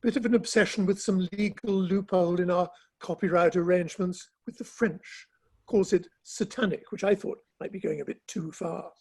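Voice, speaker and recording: Man playing a high, raspy Victorian gentleman. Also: fairly even intonation, normal speed. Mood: amused. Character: gossipy, bemused Victorian literary gentleman, clipped and droll. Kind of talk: dramatic play reading over the internet.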